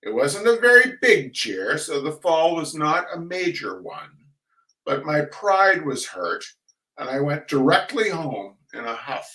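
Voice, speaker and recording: An older man hosting a reading of humorous essays, chatting casually and reading aloud in a wry, theatrical, halting manner. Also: on the high side, very animated, sharp tone. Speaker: host of a weekly humor reading. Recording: roomy echo, distant microphone.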